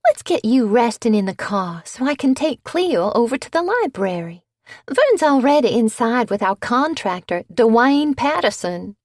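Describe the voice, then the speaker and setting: Drawling sugary matron, put on by a woman narrator, high and loud, dry mic. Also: big pitch swings, a little slow, no echo. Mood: gushing. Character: fussy Southern society matron, gushing.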